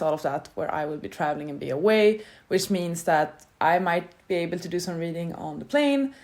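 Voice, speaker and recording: A woman's voice: lowish voice, a little mellow. Chatty, rambling woman vlogger. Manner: chatty and rambling, loosely structured. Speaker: book vlogger. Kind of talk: casual chat to camera.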